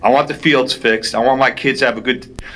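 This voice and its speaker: A man's voice: medium pitch, steady tone, fairly quick, a bit bright, rough recording. A man speaking at public comment in a council meeting, blunt and exasperated, repeating his point. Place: township council meeting room.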